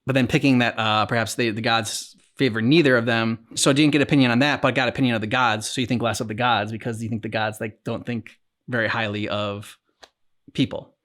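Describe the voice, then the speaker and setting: A man co-hosting a game reaction channel, chatting casually and haltingly as he thinks aloud. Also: mid-range voice, steady tone, a bit bright. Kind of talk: casual discussion and commentary.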